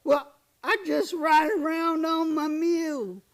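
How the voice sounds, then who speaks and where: Woman playing a slow, high-voiced simple drifter. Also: steady tone. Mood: meek, aimless. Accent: American. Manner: naive, plain-spoken, folksy rural speech. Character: simple, gentle drifter man, a former Union soldier. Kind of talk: Western radio play acting.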